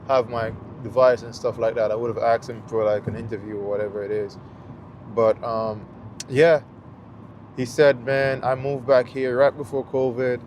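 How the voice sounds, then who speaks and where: A middle-aged man with a Jamaican accent, chatting casually to viewers while driving, rambling through a story with lots of fillers. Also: mid-range voice. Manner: rambling, relaxed storytelling with many fillers and restarts. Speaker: vlogger. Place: inside a car on a highway. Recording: car and road noise in the background.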